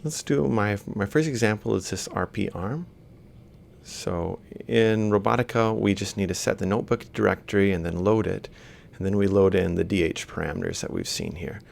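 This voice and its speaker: Very creaky, low-voiced man lecturing. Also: expressive, moderate pace, darkish tone, slight background noise. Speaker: university robotics lecturer. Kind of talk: informal step-by-step worked-example lecture. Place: screen-recorded lecture voice-over.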